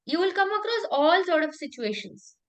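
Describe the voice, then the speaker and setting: The high-voiced, emphatic Indian woman you would hear running a one-to-one public speaking session, repeating each tip and asking if that's okay. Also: big pitch swings, normal speed. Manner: emphatic, repetitive, checks in with "okay?". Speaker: public speaking coach and talk show host. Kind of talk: informal coaching conversation with anecdotes.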